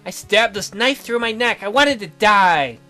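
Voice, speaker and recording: A woman's voice: mid-range voice, animated, measured pace, bright, forceful tone, faint music behind. A woman voicing a proud, troubled noble lady in a visual novel read-through, with solemn, declarative delivery. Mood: confessing.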